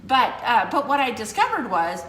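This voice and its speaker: A woman's voice: on the high side, very animated, normal speed, fairly bright tone, roomy sound, a little hiss or hum. A woman in her fifties co-hosting a casual chat, telling rambling stories that keep correcting themselves. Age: 50s. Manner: rambling, self-correcting storyteller. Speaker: co-host.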